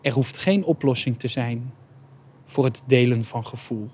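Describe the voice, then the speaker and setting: A man's voice: medium pitch, a little slow, noisy background. A man reciting a reflective poem as spoken word. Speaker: poet reciting.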